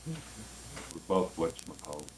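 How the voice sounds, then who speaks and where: An earnest, animated romantic in his forties. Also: very deep voice, a little slow, a little hiss or hum, heavily acted, poor audio. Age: 40s.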